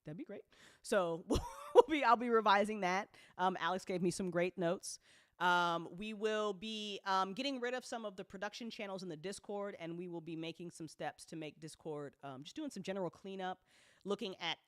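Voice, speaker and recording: A woman's voice: medium pitch, moderate pace, no room sound, clean studio sound. A woman hosting a casual update talk as an audio drama producer, speaking bluntly and informally with playful slang and free swearing.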